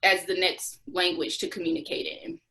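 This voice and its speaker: A woman's voice: fairly low pitch, steady tone, moderate pace, vocal fry, sharp tone, low-quality recording. A young adult American woman speaking as an interview guest, telling her experiences conversationally and acting out bits of dialogue. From United States. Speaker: interview guest, former Peace Corps volunteer.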